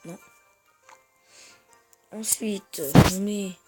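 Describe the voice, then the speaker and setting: A man's voice: high voice, steady tone, very slow, loud music behind the voice. A man with a head cold giving rambling, hesitant gameplay commentary to his viewers. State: has a head cold, congested. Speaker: gamer.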